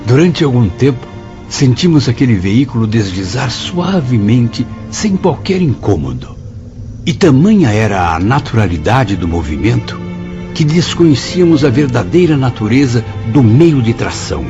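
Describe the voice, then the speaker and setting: A man's voice: medium pitch, very animated, normal speed. A man playing a writer's spirit who recounts his memories formally and eloquently in a radio drama. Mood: reflective, admiring. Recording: dull sound with music behind.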